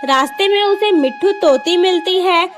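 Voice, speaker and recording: A woman's voice: extremely high pitch, normal speed, soft background music. A woman narrating a scripted children's cartoon story.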